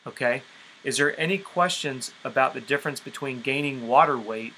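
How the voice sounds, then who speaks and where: A man's voice: mid-range voice, normal speed, bright, forceful tone, a little hiss or hum, rough recording. A man coaching nutrition on a conference call in an emphatic, explanatory way, often checking in with his listeners.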